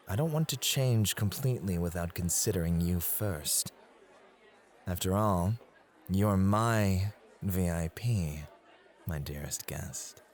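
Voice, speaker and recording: Guy acting devoted club host, possessive n teasing, low voice, really slow intimate asmr. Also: a little mellow.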